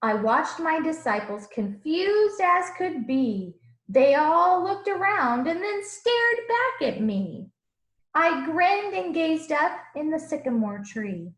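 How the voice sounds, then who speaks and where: A woman voicing a gentle, earnest Jesus who tells his own storybook tale in rhythmic rhyme. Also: sits high, big pitch swings, slow pace, voice pushed up, full character voice. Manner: rhythmic rhyming storybook delivery. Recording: roomy, echoing room sound.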